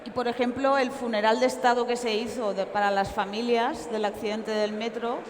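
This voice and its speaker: A woman's voice: a little high, fairly even intonation, normal speed. A woman with a Valencian Spanish accent, frankly and emphatically telling an account as a journalist and author being interviewed in public. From Valencia, Spain. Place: large reverberant hall at comic convention. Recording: echoey hall with long reverb.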